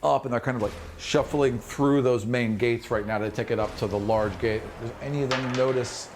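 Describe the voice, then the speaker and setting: A man's voice: medium pitch, steady tone, normal speed. A man running a tabletop fantasy game as game master, improvising narration and acting out sound effects. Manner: acts out sound effects while describing action. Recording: noticeable background noise.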